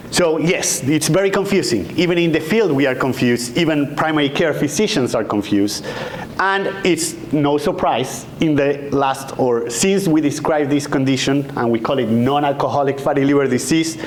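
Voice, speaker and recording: Man, 40s, Argentinian accent, doctor running an informal patient talk, handheld mic, conversational, slight room noise. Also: mid-range voice. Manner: relaxed, conversational, checks in with "okay?" and "right?". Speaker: doctor giving a health talk. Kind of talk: informal patient education lecture. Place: conference room, indoors. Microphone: handheld microphone.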